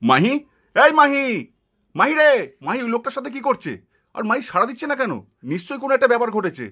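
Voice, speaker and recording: A man acts out an alarmed, protective village man for a puppet show. The voice is very high for a man and forceful, with fast, emphatic delivery. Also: sing-song, full character voice. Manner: urgent, emphatic. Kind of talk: puppet cartoon acting.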